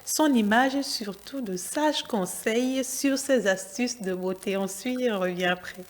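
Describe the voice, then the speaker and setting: A woman hosts a TV health program with a fairly high voice. She keeps things brisk and pushes to move on quickly. The sound is echoey and roomy. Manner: brisk, hurries the guest along. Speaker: TV show host. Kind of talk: broadcast hosting. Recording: echoey room.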